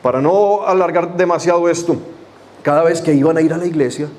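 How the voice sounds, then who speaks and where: A man's voice: on the high side, brisk, a little mellow. A man leading a church service, first praying fervently with repeated invocations, then telling a personal story in a loose, conversational way. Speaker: pastor. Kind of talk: sermon with opening prayer and testimony.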